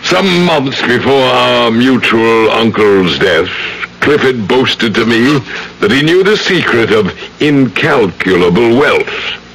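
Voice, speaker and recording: An actor playing a greedy, pompous older judge in an old-time radio drama, speaking in a formal, deliberate manner. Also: male voice, mid-range voice, lively intonation, fairly bright tone. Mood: menacing. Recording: noisy old radio broadcast.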